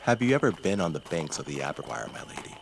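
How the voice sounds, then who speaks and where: A low-pitched young nobleman played by a male actor in a fantasy audio drama. He is formal and courteous, choosing his words with cool calculation. Faint noise sits behind the voice. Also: sing-song, creaky, full character voice. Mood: courteous, measured. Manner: formal, deliberate, polite.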